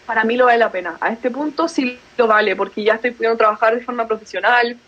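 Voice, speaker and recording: A woman's voice: fairly high pitch, quick pace, a bit bright, highs cut off. A young adult woman from Chile with a Chilean Spanish accent, chatting casually as a podcast guest and laughing easily between thoughts. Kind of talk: casual conversational interview.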